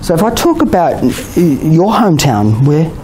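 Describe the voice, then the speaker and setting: A man with an Australian accent giving a relaxed exam-prep lecture to a class, often checking in with "okay?" and "you know?". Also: a little high, normal speed, slight background noise, low-quality recording. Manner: chatty, many "okay?" and "you know?" tags, laughs often. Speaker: IELTS teacher.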